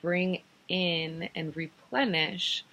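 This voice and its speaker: A woman leading a guided meditation in an unhurried, soothing manner, giving gentle improvised instructions in short phrases with pauses. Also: on the low side, highs cut off. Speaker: guided meditation teacher and host.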